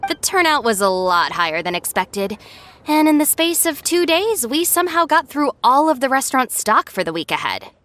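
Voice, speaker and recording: Dry, expressive young gang deputy. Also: female voice, sits high, moderate pace. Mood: explaining. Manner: dry, articulate, explanatory. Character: sensible young woman, dryly exasperated gang deputy. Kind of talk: anime-style video game voice acting.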